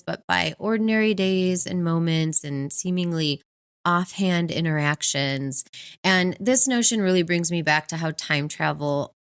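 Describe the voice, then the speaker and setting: Female podcast co-host, lower-pitched, enthusiastic book reviewer with an easy conversational delivery. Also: fairly bright tone, clean tone. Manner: enthusiastic, laughs easily.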